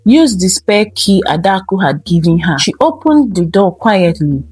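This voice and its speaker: A woman's voice: mid-range voice, sing-song, normal speed, darkish tone, slightly rough, dry recording. A woman narrating a dramatic scripted folktale as a storyteller.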